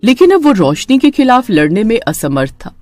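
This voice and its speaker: A woman's voice: on the high side, sing-song, moderate pace, darkish tone, no room sound. A woman narrator reading a scripted horror story in a dramatic storytelling manner.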